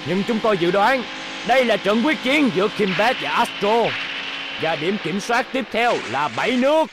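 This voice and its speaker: A man playing an excitable, combative motor-race figure in a Vietnamese cartoon dub. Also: high-pitched, moderate pace, noisy background. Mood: excited.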